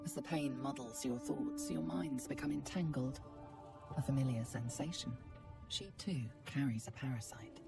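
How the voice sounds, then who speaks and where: An actress playing a solemn, ominous narrator in a fantasy video game, reading measured, dramatic second-person narration. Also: female voice, rumbling low, vocal fry, over loud music.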